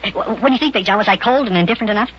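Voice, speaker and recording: A man voicing an eager, mischievous, boastful little boy in a children's radio serial, with a high, raspy, sped-up voice. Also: rapid-fire, a little hiss or hum, close to an everyday voice. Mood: eager, scheming. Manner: chattering, boastful, plays at being important. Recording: dull lo-fi old radio recording.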